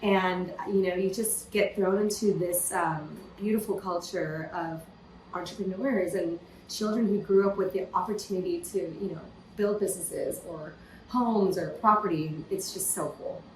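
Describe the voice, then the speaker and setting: A woman's voice: lowish voice, normal speed, roomy sound, poor audio. Woman guest, casual storyteller, lots of fillers, slight background hiss. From California, USA. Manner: lively storytelling with frequent "you know" fillers. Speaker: podcast guest, entrepreneur. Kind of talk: casual interview conversation.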